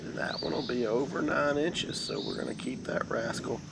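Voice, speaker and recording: A hoarse man in his thirties, calmly narrating his fishing from a kayak on a creek. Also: medium pitch. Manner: slow, steady explaining. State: hoarse from a cold. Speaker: kayak angler. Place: kayak on a wooded creek outdoors. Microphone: camera mounted behind him on the kayak. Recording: noisy outdoor camera audio.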